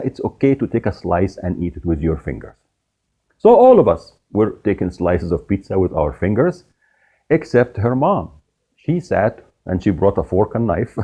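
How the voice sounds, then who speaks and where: A middle-aged man from Egypt hosting a show, telling a personal story in an animated way with laughs along the way. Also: low-pitched, moderate pace, slightly rough, lo-fi sound. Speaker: podcast host. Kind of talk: storytelling monologue.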